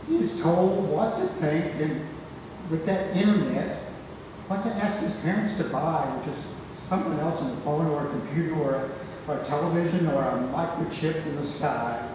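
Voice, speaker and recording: A man's voice: on the high side, expressive, normal speed, lightly acted. A man playing a cranky, bedridden old great-grandfather in a play read-through, grumbling and rambling. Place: reverberant theatre room, far from mic. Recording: echoey hall, distant mic, heavy noise.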